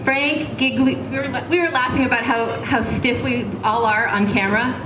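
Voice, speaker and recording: A woman's voice: fairly high pitch, fairly even intonation, normal speed. A woman hosting a live cafe talk, reading a guest introduction and asking questions, slightly flustered and stumbling over words. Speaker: event host. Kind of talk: reading an introduction, then interviewing. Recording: distant echoey mic with background noise.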